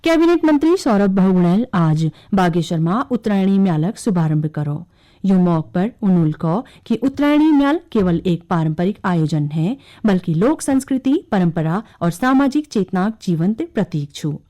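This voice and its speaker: Kumaoni-accented woman newsreader, animated pitch. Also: moderate pace. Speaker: radio news reader. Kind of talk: scripted regional news bulletin reading.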